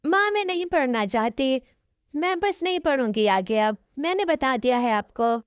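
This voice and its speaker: A woman plays a stubborn young cartoon college girl with a high-pitched voice. She is whiny and quick to argue. The recording is dry and slightly dull. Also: lively intonation, clean tone, no echo. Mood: pleading, stubborn. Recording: dull, lo-fi audio.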